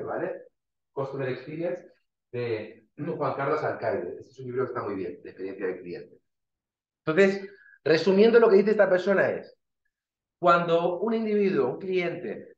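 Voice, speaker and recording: A male university lecturer, explaining slides informally with everyday examples and frequent restarts. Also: a little high. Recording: dull, roomy lecture recording.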